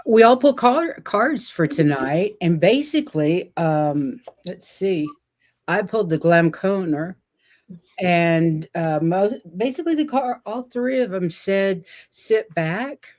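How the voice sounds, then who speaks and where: Slow, low-voiced woman hosting a chat. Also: lively intonation. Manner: slow, chatty, with frequent fillers and soft laughs. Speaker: host. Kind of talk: casual livestream chat.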